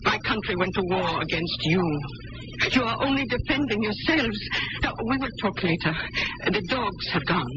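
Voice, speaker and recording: A woman's voice: mid-range voice, expressive, normal speed, close to an everyday voice. A woman playing a brave, kind young German farm woman who shelters fugitives, in an old-time radio drama, speaking earnestly. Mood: earnest, calm. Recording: dull, very noisy radio drama recording.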